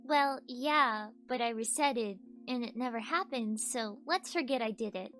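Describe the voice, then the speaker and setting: A woman voicing a sulky, flippant teenage girl villain from an animated series, with a childish manner. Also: a little high, unhurried, soft background music. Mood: defensive, flippant.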